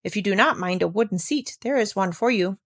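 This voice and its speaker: A woman's voice: mid-range voice, brisk, close to an everyday voice. Woman reading as a stubborn old mountain hermit. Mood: polite. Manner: firm, blunt, determined.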